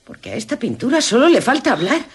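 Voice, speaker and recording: A wistful young gentlewoman of a gothic radio play, speaking Castilian Spanish. Her voice is husky and very expressive, moving from hushed telling to amazed exclamation. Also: a little high, fast, full character voice. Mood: excited, amazed. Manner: dramatic, shifting from hushed narration to excited exclamation. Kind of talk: Spanish radio drama acting, narration and dialogue.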